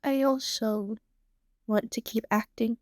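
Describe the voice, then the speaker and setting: A woman's voice: fairly high pitch, steady tone, slow pace, no echo, clean studio sound. A woman voicing a shy, self-blaming teenage girl in a story reading, hesitant and prone to stammering. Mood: hesitant, earnest. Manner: hesitant, stammering, soft-hearted.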